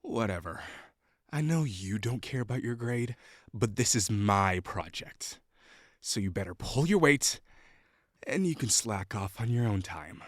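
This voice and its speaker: A man's voice: on the low side, lively intonation, measured pace, no echo, studio quality. A young man voicing a prickly, condescending perfectionist classmate in an audio roleplay, talking in a bossy, sarcastic way. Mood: cold, condescending. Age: young adult. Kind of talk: scripted roleplay acting.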